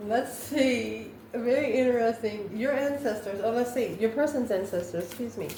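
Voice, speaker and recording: A woman giving an intuitive tarot reading to viewers, musing aloud in short, halting phrases. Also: fairly high pitch, warm tone. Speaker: tarot reader, host. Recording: roomy echo, distant mic, background noise.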